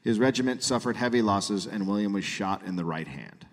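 A man hosting a live comedy podcast, telling a story in a halting way with repeated phrases. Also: on the low side, expressive. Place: live show in a hall. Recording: live hall reverb.

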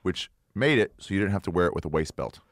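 A man's voice: sits low, expressive, fast, dry recording. A man telling a story as a guest comedian in a loose, jokey, profane style, wandering off into banter. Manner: jokey, profane, tangent-prone.

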